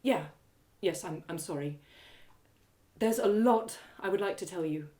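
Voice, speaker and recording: A woman voicing a fierce, guarded, hot-tempered warrior woman in an audio drama, with curt, gruff delivery. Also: fairly low pitch, unhurried, dark tone, lightly acted. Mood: halting, subdued. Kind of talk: one-woman audio drama character acting.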